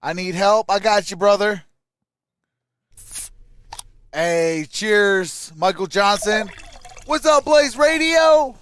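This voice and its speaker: A man's voice: high-pitched, slow, fairly bright tone, a little hiss or hum. A man hosting a live sports stream, calling the plays and reacting in short clipped phrases. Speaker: live stream host and play-by-play caller.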